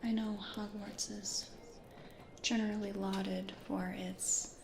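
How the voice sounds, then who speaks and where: A woman playing a gentle, anxious, plant-loving American ghost from the 1800s in a soft, hesitant, breathy whisper for an ASMR roleplay. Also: mid-range voice, level tone, takes it slowly. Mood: wistful, gentle. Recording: roomy echo, audible background noise.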